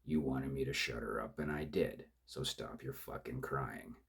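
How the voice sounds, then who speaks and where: A man voicing a rough, tobacco-cured killer. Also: rumbling low, full character voice. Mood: contemptuous. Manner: slow, contemptuous drawl. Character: rough, cold male killer, menacing.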